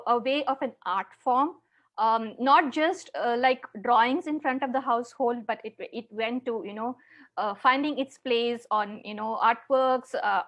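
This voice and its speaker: A woman with a high voice and a South Indian accent teaches an online class over a video call. Her delivery is halting, with words often repeated as she explains her slides. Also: fairly bright tone. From Chennai, South India. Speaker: teacher, online conference presenter. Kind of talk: interactive online lesson with slides.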